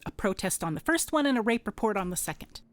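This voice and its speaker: A woman acting as a sharp, cool-headed insurance investigator in a contemporary radio drama, casual and dryly confident. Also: medium pitch, sing-song, fast talker, close to an everyday voice. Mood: wry, thoughtful.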